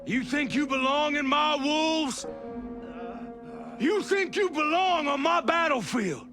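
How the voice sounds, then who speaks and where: An actor playing a menacing, contemptuous military commander who mocks and shouts insults at his soldiers in a game cutscene. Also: very high voice, takes it slowly, over music. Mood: taunting. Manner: taunting, mocking, shouting insults. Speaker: villain officer in a game cutscene.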